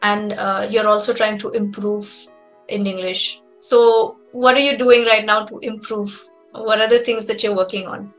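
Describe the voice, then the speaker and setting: A woman's voice: mid-range voice, little pitch movement, moderate pace, fairly bright tone, soft background music. A young woman from Shillong with an Indian accent, coaching English in an encouraging, conversational way over a headset on a video call. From Shillong, Meghalaya, India. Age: young adult. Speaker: English trainer.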